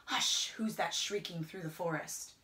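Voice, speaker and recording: A middle-aged woman reads a picture book aloud to children in a rhythmic, singsong way, often dropping into hushed whispers. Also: lowish voice, measured pace, a little hiss or hum. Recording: roomy indoor echo.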